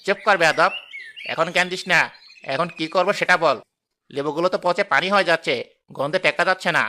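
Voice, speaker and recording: A greedy village lemon seller rattles on in a quick, bright, sharp voice, as a man acts him out. He talks fast and pleads a lot. It plays out in a storybook cartoon. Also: fairly high pitch. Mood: frantic. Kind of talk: cartoon voice acting.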